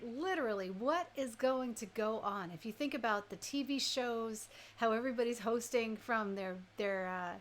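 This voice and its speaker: A woman with a somewhat high voice hosts a live radio show. She talks casually and chattily, addressing her listeners directly as if in conversation with them. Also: a bit bright. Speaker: radio DJ and host.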